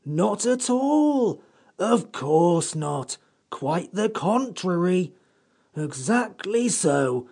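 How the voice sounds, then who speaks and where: Gravelly, slow, haughty sand fairy. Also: male voice, fairly high pitch, pitched above the performer's own voice, exaggerated voice. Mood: withering sarcasm. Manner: slow, withering, pompous drawl. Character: grumpy sarcastic old sand fairy creature. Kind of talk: audiobook character voice.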